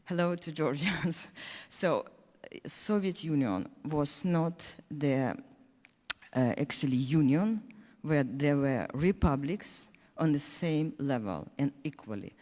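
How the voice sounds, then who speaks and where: A woman from Donetsk with a Ukrainian accent, a native Russian speaker, speaking as a panelist in earnest, halting English full of restarts. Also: low-pitched, dark tone, big-room sound. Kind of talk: panel discussion speech.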